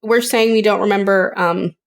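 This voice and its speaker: A woman in her thirties co-hosting a podcast in relaxed conversation. She swears freely and laughs a lot. Her voice sits at an ordinary middle pitch. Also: normal speed, gravelly. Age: 30s. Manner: swears freely, laughs often, animated retelling. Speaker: podcast co-host. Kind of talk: casual chat.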